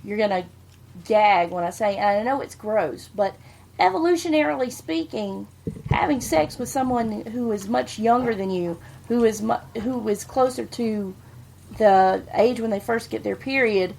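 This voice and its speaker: A woman's voice: fairly high pitch, expressive, moderate pace, vocal fry, darkish tone, low-quality recording. A woman co-hosting a podcast, talking frankly and laughing often. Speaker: podcast co-host. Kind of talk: casual podcast chat.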